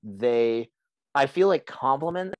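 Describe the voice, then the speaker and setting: A young man with a raspy, hoarse voice from being sick, hosting a casual podcast chat and rambling with lots of "like" and restarts. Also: mid-range voice, animated, slow, no room sound, muffled recording. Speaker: podcast host.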